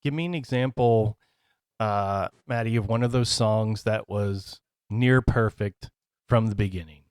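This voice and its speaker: Male host, loud and excitable, rambling casual interviewer. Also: fairly low pitch, a little slow, clear, resonant tone. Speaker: radio show host interviewer.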